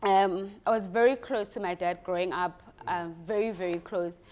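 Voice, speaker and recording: A woman's voice: on the low side, fairly even intonation, moderate pace, a little mellow. Earnest young South African woman. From South Africa, KwaZulu-Natal. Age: young adult. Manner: earnest storytelling, mixes isiZulu into English. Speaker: doctor, interview guest. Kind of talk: casual interview chat.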